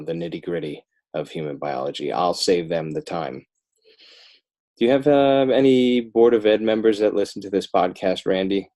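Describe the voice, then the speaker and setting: Casting: American male, low voice, children's author guesting on a podcast, conversational. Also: warm tone. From Northern New Jersey, United States. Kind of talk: casual podcast interview, plugging work.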